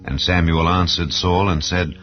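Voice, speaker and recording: A man with a very low voice reads scripture as a formal, solemn narrator. The sound is slightly dulled, and quiet instrumental music plays behind him. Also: flat delivery, normal speed, lo-fi sound. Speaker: audio Bible narrator. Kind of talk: scripted dramatized scripture reading. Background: soft instrumental background music.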